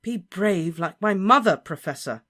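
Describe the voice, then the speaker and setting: Brief: earnest teenage boy wizard, quiet but insistent, read by the narrator. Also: medium pitch, lively intonation, unhurried, voice pushed up. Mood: determined.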